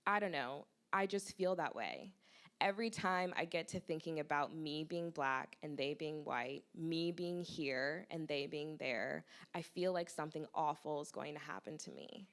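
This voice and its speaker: A woman's voice: low voice, normal speed, creaky. A woman reading aloud the lines of a bitter, restless young man from a novel.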